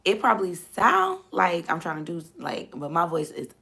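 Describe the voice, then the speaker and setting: Hoarse woman with a gravelly low voice. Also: huge pitch swings, normal speed, sharp tone. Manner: repetitive, emphatic, preachy. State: voice gone, hoarse. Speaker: host. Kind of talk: casual faith talk livestream.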